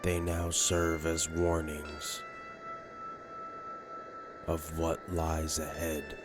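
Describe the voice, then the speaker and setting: A man playing a noble, weary alien hero, narrating a comic in a solemn, theatrical, measured way. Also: rumbling low, completely flat delivery, exaggerated voice. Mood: grave, slow. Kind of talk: dramatic first-person comic narration and dialogue. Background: loud music.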